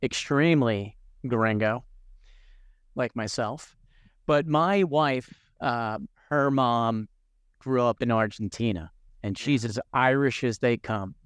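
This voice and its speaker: A man hosting a podcast, asking questions in a relaxed, chatty way. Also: mid-range voice, slow pace, clean tone, no room sound, studio quality. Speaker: podcast host. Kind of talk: casual chat.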